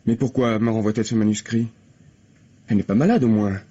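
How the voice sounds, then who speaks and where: A struggling playwright in an old radio mystery, effusive and self-absorbed. His voice is slightly husky, and he stammers and hesitates as he talks. The recording is dull and lo-fi. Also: fairly low pitch, fairly even intonation, fairly quick, warm tone. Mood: uneasy, defensive. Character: nervous, eager, self-absorbed struggling young male playwright. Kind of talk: vintage French radio mystery acting.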